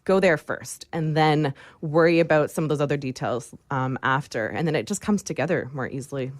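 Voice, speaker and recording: Interview guest: female, low-pitched, very dry close sound, chatty and explanatory. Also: fairly quick, no echo. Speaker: guest, branding agency owner. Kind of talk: conversational interview talk.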